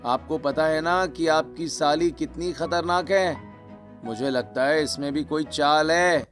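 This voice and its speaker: A man voicing an excitable, hapless young man in a cartoon story, complaining dramatically. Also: a little high, a little slow, faint music behind. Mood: wary. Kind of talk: Hindi cartoon story acting.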